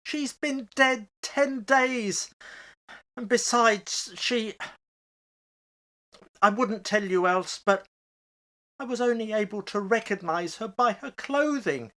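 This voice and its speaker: Casting: woman as grieving, reasoning elderly parent for radio play; raised pitch, unhurried, emotional delivery. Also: fairly bright tone. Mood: alarmed. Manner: pleading, halting, emotional. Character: anguished, reasoning elderly parent of a lost daughter. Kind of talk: amateur radio play acting.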